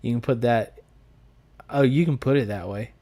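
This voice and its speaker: A man's voice: medium pitch, moderate pace, warm tone. A man with a Southern American accent chatting informally and jokingly on a live stream as he answers comments. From United States.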